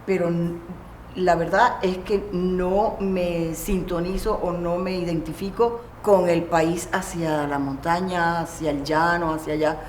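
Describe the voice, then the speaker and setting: A woman's voice: lowish voice, fairly even intonation, unhurried, reverberant, a little hiss or hum. A woman from Barranquilla, Colombia, a filmmaker guest speaking emphatically and passionately. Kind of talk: casual conversation.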